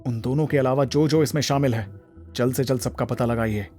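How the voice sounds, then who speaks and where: A man's voice: mid-range voice, brisk, a little mellow, faint music behind, natural voice. A man playing a protective, powerful husband and boss in an audio drama, stern and formal, with an angry edge. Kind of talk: Hindi audio drama acting.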